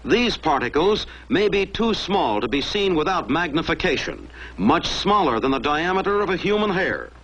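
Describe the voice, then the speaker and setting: A man's voice: on the high side, sing-song, moderate pace, few pauses, a bit bright, slightly rough. An American man narrating a mid-century military training film from a script in a dramatic, emphatic manner. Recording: dull lo-fi old film soundtrack.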